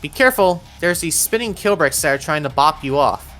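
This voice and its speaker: A woman's voice: deep voice, animated, brisk, a bit bright, faint music behind. A woman narrating an instructional video game walkthrough in a step-by-step, directive way, as a guide for players. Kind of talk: instructional gameplay walkthrough voice-over.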